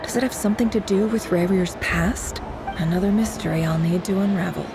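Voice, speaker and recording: A woman's voice: lowish voice, brisk, natural voice. An actress voicing a thoughtful, inquisitive woman in a video game, with a pensive, questioning manner. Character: thoughtful, inquisitive woman puzzling over mysteries. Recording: game music clearly behind.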